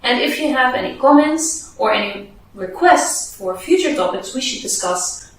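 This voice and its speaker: A woman's voice: mid-range voice, far from the mic, a little hiss or hum. Echoey woman giving advice. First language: Dutch. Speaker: podcast host giving advice to researchers. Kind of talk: scripted advice video. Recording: very echoey room, voice away from mic.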